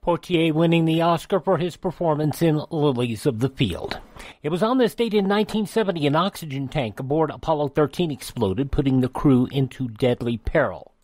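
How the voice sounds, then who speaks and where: A man's voice: on the high side, expressive, brisk, darkish tone, no room sound. A man hosting a radio program, reading out dates from history in a conversational way with dry asides. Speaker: radio host.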